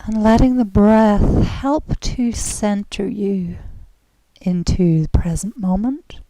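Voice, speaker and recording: Female meditation guide: mellow, breathy-soft, soothing, very slow delivery with long pauses. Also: medium pitch, no echo, rough recording. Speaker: guided relaxation and yoga instructor. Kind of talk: guided relaxation, meditative instruction.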